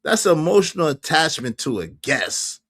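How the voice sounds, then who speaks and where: A man's voice: a little high, very animated, moderate pace, fairly bright tone. A man around fifty hosting a casual livestream, chatting animatedly with viewers, laughing and reacting to their comments. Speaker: livestream host.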